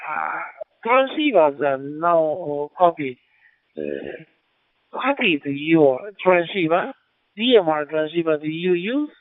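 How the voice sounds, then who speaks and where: A man with a Japanese accent speaking halting, polite English as an amateur radio operator over a digital two-way radio, often repeating himself. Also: on the high side, lively intonation, no echo. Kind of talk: formal radio contact exchange. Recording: tinny narrowband digital radio transmission.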